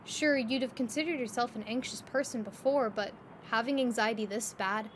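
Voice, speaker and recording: A woman with a fairly high voice narrates a scripted story. She tells it expressively and descriptively, with faint ambient noise behind her. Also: moderate pace.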